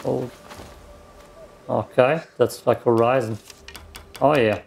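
A man's voice: medium pitch, fairly even intonation, slow, gravelly, darkish tone, a little hiss or hum. A man in his thirties with a German accent, casually commenting on a game he is playing, muttering reactions to himself. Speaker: let's play gamer.

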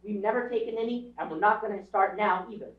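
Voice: mid-range voice, quick pace, lightly acted. A performer playing a proud, defiant young boy in a stage radio drama, blunt and insistent. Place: small theatre stage, recorded from audience camera. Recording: dull, echoey stage recording from far off.

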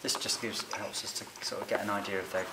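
Man, middle-aged, very deep voice, quiet hands-on explaining, farm barn, noisy camera sound. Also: level tone, quick pace. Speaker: licensed bird ringer. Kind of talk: explaining fieldwork while handling birds. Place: open farm barn on straw, outdoors air. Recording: noisy outdoor camera recording.

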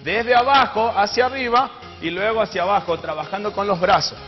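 High-pitched Argentine male fitness trainer. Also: brisk. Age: young adult. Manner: instructive, encouraging. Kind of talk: live exercise demonstration. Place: TV studio, indoors. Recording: dull echoey TV audio, music behind.

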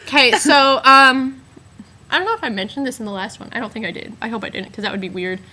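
A teenage girl from Arizona chats into a laptop webcam at home, teasing her co-host with a giggly manner. Her voice sits a little high and sounds bright. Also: fast talker. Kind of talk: casual webcam chat. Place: bedroom at home, indoors. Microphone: built-in laptop webcam mic.

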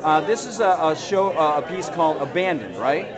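A man doing an excited, effusive on-the-spot interview at a crowded indoor art show, recorded on a handheld camera. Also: a little high, moderate pace, poor audio. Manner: enthusiastic, effusive. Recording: busy crowd noise. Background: crowd chatter.

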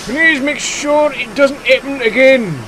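Man acting a stern, officious railway inspector, raised pitch. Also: expressive, crowd noise, poor audio. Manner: stern, slow, formal.

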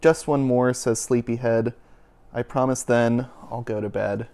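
A young man reads a bedtime picture book aloud in an even, mid-pitched voice. His cadence is gentle and lilting, like a storybook read at home. He is picked up close by a lapel mic in a living room. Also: measured pace. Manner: gentle storybook cadence, softening toward the end. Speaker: children and families minister. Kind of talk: reading a picture book aloud, then a short reflection. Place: living room, indoors, seated on a couch.

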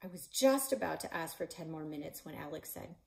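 Brief: expressive woman narrator for a middle-grade read-aloud, pitch that swings widely, recorded at home by a fireplace. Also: fairly quick, gravelly. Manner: lively, voicing characters with shouts and whispers. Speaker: librarian and author reading her novel aloud. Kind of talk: children's book read-aloud. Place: living room in front of a stone fireplace.

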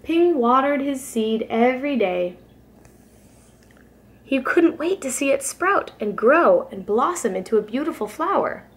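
A young woman reads a children's story aloud. Her voice is a little high and her pace is slow, with careful, expressive phrasing meant for small children. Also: slight background noise. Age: young adult. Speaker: preschool teacher reading aloud. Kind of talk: children's picture book read-aloud.

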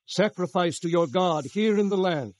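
Guy acting as proud egyptian king in a bible audio drama, kinda high voice, bossy and declamatory, dry sound. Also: moderate pace, a little mellow, dry recording, lightly acted. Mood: grudging command. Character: proud Egyptian Pharaoh, male ruler, imperious.

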